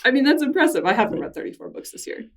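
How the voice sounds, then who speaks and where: A woman's voice: high voice, big pitch swings, very fast, recorded from a distance, crowd noise. A woman hosting a podcast interview, asking curious questions and laughing often. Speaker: podcast host. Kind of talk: casual interview conversation.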